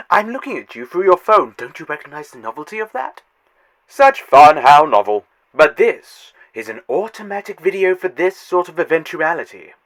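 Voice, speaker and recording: A man's voice: on the high side, low-quality recording. A man voicing a taunting, godlike male trickster in a fan audio drama, theatrical and mocking. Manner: theatrical, taunting, swinging loud to hushed.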